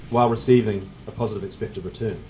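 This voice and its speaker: A man in his forties answering on a conference panel at a table mic, measured and technical, his phrases fading at the ends. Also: fairly low pitch, steady tone, warm tone, a little hiss or hum, rough recording. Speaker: panelist, fund manager. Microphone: table mic on stand.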